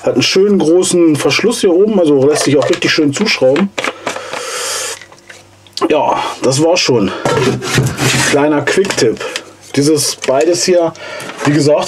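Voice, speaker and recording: A man in his forties, hoarse from a cold, casually and enthusiastically reviewing gear in a basement vlog. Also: on the high side, normal speed, pauses often, noisy background. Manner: enthusiastic, chatty, slangy. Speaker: outdoor gear channel host. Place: cluttered basement storage room, indoors. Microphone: camera mic from a few steps away.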